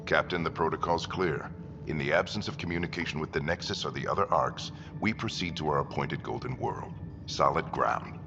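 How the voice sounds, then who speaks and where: An actor playing a middle-aged, authoritative expedition leader in a sci-fi game, terse and unyielding. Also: male voice, a bass voice, a little fast. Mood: stern, resolute. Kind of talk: sci-fi video game acting. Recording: muffled game audio under loud music.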